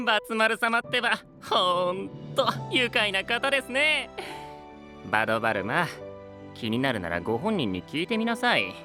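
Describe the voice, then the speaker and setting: Polite, lilting young knight. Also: male voice, high voice, wildly swooping pitch, measured pace, music in the background, full character voice. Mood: amused. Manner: soft polite formal speech. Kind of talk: anime-style voice drama acting.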